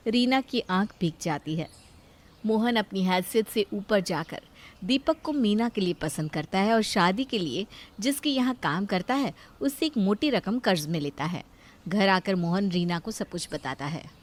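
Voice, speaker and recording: A woman narrates a scripted moral tale. Her voice is medium in pitch. She delivers it as a storyteller, describing each scene in turn. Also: expressive, moderate pace.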